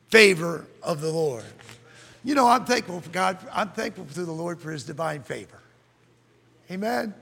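A middle-aged man preaching a sermon in a church, reading scripture and rising into fervent shouts. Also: sits high, gravelly, husky. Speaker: pastor. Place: church sanctuary. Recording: long church hall reverb.

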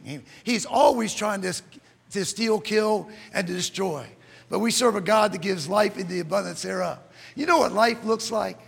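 A middle-aged man preaching a sermon in a church, reading scripture and rising into fervent shouts. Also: sits high, creaky, slightly rough. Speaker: pastor. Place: church sanctuary. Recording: long church hall reverb.

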